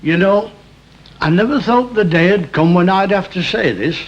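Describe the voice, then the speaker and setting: An actor playing a nosy, plain-spoken older neighbour with a Northern English accent in a 1960 television drama. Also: male voice, high-pitched, moderate pace. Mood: affronted, reproving. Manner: probing, homely, blunt. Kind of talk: 1960s British television drama acting. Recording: muffled noisy 1960 television soundtrack.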